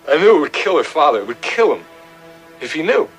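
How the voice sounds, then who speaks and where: An actor playing an embittered American fiance in his twenties, halting and defensive under police questioning in an audio drama. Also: on the high side, very animated, soft background music. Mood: bitter, anguished.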